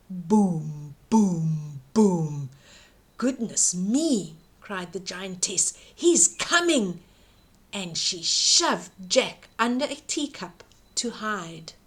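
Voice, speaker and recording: A woman reading a bedtime story aloud to children, dramatically, with emphatic drawn-out words and hushed suspense. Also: lowish voice, husky.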